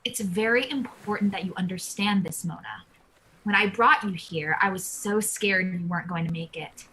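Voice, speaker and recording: A woman's voice: mid-range voice, normal speed, pushed and bright, slight background noise, close to an everyday voice, poor audio. A woman playing Depression personified, a protective, coaxing caretaker, in a live play reading. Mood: grave, protective.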